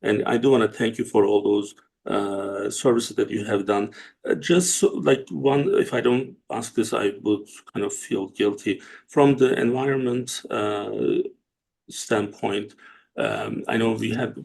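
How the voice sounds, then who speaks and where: A man from Turkey, hosting an interview in a casual, halting way with many fillers and restarts. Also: on the low side, creaky, darkish tone, poor audio. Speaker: host and company CEO.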